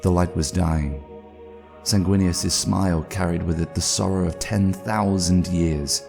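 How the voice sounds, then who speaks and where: A man giving a grave, measured dramatic narration in the manner of a solemn audiobook. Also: deep voice, steady tone, vocal fry, music in the background. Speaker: narrator, voice actor.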